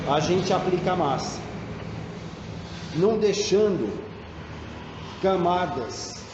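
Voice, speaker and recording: A man with a Brazilian accent giving a hands-on tutorial in a deliberate, step-by-step explanatory way. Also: on the high side. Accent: Brazilian Portuguese. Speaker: tradesman demonstrating door painting. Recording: dull, echoey, reverberant room with background noise.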